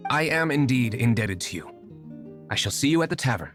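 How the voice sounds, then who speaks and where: Man playing composed aristocratic young master, expressive. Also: medium pitch, over music, natural voice. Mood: resolute. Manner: formal, measured, well-spoken. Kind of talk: video game character acting.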